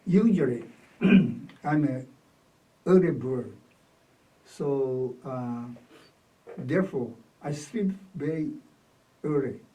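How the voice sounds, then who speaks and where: An older man from Taiwan with a Taiwanese accent, recalling his life in an oral history interview in halting short phrases. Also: mid-range voice, animated, dark tone. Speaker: interviewee, retired academic. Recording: distant microphone.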